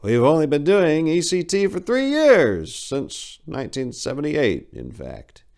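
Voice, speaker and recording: A smug psychiatrist with coldly clinical manners, put on by a male narrator. He speaks in a raised, swinging pitch, formal and condescending, stretching words in storybook drama fashion. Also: darkish tone, clear, resonant tone, full character voice.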